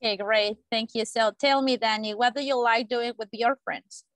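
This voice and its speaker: A woman's voice: on the high side, fairly even intonation, normal speed, a bit bright, no room sound. A woman interviewer asking short structured questions and acknowledging each answer briefly. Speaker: interviewer, teacher.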